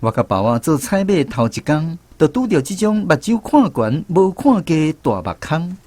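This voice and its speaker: An actor narrating as a former conscript in a radio drama, telling scripted first-person memories with a Taiwanese accent. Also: male voice, fairly high pitch, very animated, normal speed, a little mellow. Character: wry former conscript narrating his island army memories. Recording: music bed under narration.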